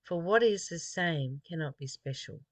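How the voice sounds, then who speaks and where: A speaker from Australia reading a spiritual text aloud and adding personal reflections in a deliberate, earnest manner. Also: mid-range voice, lively intonation, no room sound.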